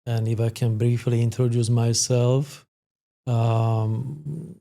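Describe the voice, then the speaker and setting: Male expert guest, 50s, Slovak accent, gravelly and mellow, halting delivery. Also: mid-range voice, flat delivery. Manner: hesitant, halting phrasing. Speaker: sports psychologist, podcast guest. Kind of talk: interview conversation, explanatory.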